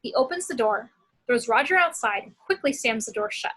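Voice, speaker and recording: Bright, husky woman narrating screenplay action. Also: fairly high pitch, expressive, fairly quick, low-quality recording. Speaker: narrator reading action descriptions.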